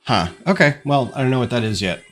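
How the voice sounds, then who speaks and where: A man's voice: mid-range voice, animated, fast, soft background music. A man with a stuffed-up nose chatting casually on a livestream at his home desk, thinking aloud with lots of ums. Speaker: LEGO designer streaming. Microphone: desk microphone.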